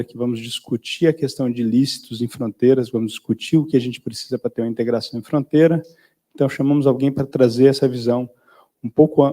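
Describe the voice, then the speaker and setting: A man with a mellow, warm tone opens the session and introduces the guest speaker. His delivery is brisk but now and then halting. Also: medium pitch. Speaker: event host introducing the speaker. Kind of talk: formal introduction.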